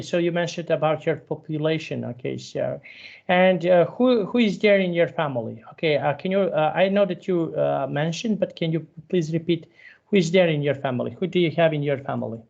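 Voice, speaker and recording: A man, teaching a one-on-one English lesson over a video call, patient and halting, often restarting his sentences. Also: fairly high pitch, vocal fry, a little mellow, muffled recording. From Serbia. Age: 30s.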